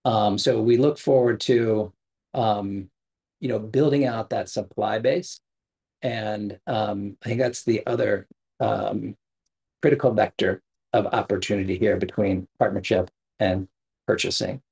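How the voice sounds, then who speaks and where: A man's voice: fairly low pitch, fairly even intonation, unhurried, vocal fry, a little mellow, slightly rough. A middle-aged man giving a prepared keynote over a video call, speaking earnestly with frequent fillers and self-corrections. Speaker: government sustainability official giving a keynote. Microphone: video call, webcam at desk.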